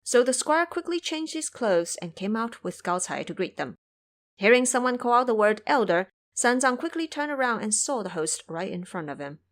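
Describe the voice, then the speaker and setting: A woman's voice: a little high, very animated, moderate pace. A woman narrator delivering scripted storytelling for an audio drama.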